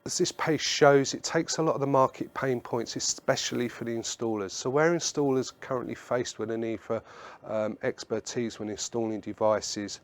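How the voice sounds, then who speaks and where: A man presenting a product webinar in a measured, instructional way, explaining slides point by point. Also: medium pitch, steady tone.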